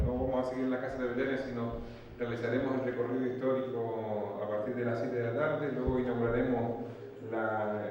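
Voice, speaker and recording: A man's voice: medium pitch, mellow, a little hiss or hum. A man introducing a speaker at a public talk, hesitant with many fillers and restarts. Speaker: event presenter. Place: municipal hall. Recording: echoey hall, distant mic, lo-fi.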